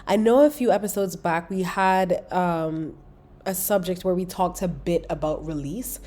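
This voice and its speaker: A young woman with a lowish, mellow voice hosts a spiritual podcast from her apartment. She is congested and sniffly from a cold. Her talk is casual, affectionate and motivational. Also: measured pace. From Caribbean. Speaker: podcast host. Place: London apartment near a busy road.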